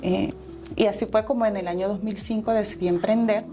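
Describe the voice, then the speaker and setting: A woman's voice: medium pitch, fairly even intonation, fairly quick, dark tone, soft background music. A Salvadoran woman in her forties, a guest entrepreneur telling her life story in an interview, earnest and reflective, with fillers and self-corrections.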